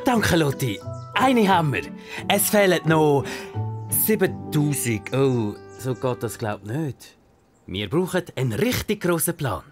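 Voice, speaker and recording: A man's voice: fairly high pitch, big pitch swings, unhurried, music in the background. A man playing a proud, goofy zookeeper dad in a children's cartoon, with a Swiss German accent and a theatrical, exclaiming manner. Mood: cheerful, flustered. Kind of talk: animated children's bedtime story acting.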